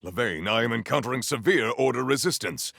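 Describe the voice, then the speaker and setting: An actor playing a solemn, honor-bound warrior-monk in a video game, speaking in a formal, stately, theatrical way. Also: male voice, medium pitch, lively intonation, brisk, creaky, a bit bright, no echo, clean studio sound. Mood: formal, steady. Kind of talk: scripted video game dialogue.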